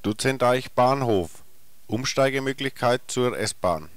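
A man's voice: mid-range voice, expressive, slow pace, a little hiss or hum. A man reading scripted bus stop announcements in a clipped, formal, neutral manner, from a 1990s cassette recording. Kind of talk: scripted public transit announcement, short formal stop names.

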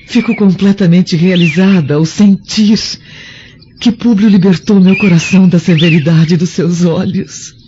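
Casting: gentle, devout Roman lady played by a woman, reflective, radio play with noisy, dull recording. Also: low voice. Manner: tender, hesitant, reflective. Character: gentle, resigned, pious Roman noblewoman. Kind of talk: radio drama acting. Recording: dull sound, clear background noise.

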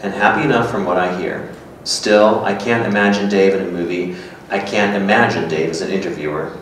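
A man in his thirties reading the part of a dry, blunt, sceptical companion in a staged script reading, deadpan and terse. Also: sits low, brisk. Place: small hard-walled gallery room, indoors. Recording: very echoey room, distant, faint noise.

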